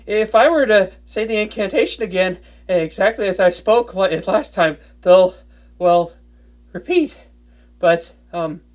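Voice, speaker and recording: A woman playing a nervous, smitten young wizard in an intimate roleplay audio drama, with a stammering, excitable and bookish way of talking. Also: medium pitch, lightly acted. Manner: flustered stammering, hesitant, breathless enthusiasm.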